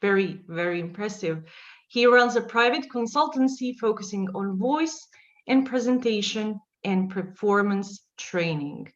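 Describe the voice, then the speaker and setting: A woman's voice: medium pitch, expressive, a little slow, muffled recording. A woman hosting a workshop, introducing the guest with enthusiastic, gushing praise. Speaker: workshop host.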